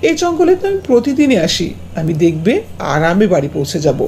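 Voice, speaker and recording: A woman's voice: medium pitch, sing-song, quick pace, dark tone, a little hiss or hum, voice pushed down. A woman voicing an earnest, exclamatory woodcutter father in a cartoon fairy tale. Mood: caring. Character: poor woodcutter father, devoted, easily bewildered.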